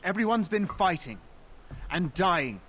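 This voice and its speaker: A young village militiaman from a fantasy game, earnest and frightened. His voice is high for a man and swings widely in pitch, with some ambient noise behind it. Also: sing-song, measured pace, fairly bright tone, heavily acted. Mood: grim, alarmed. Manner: earnest, anxious. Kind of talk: fantasy video game dialogue.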